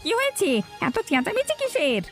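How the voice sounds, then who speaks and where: A woman's voice: very high-pitched, big pitch swings, moderate pace, a bit bright, soft background music, exaggerated voice. A woman voicing a young college student son, questioning and protesting, in cartoon story style. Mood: anxious protesting.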